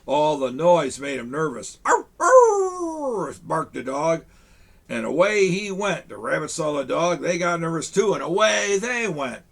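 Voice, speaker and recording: A man reading a children's picture book aloud, with chuckling, animated asides to young listeners. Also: on the high side, moderate pace, creaky. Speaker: storyteller in a Santa persona.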